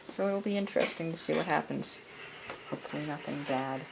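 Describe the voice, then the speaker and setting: A man with a creaky, gravelly voice talks casually through hands-on repair work. He is halting and mutters small asides, over clear background noise and clicks. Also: fairly high pitch. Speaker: radio repair hobbyist. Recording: clearly noisy workshop recording with clicks.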